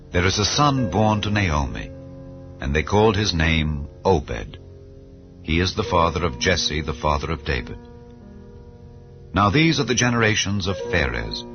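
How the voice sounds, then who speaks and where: A man with a very deep voice reads scripture in a slow, solemn, measured way. The sound is a little dull, with faint music underneath. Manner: solemn, measured reading of old-style scripture. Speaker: Bible reader. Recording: slightly dull with soft background music. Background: soft background music.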